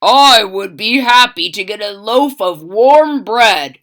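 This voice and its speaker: A worn-out soldier back from war, hungry but still hopeful, raises his voice to plead with a village, as a woman reading aloud puts him on. The voice is bright and lively, and the words come slowly. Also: a little high, heavily acted. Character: tired hungry soldier marching home, hopeful.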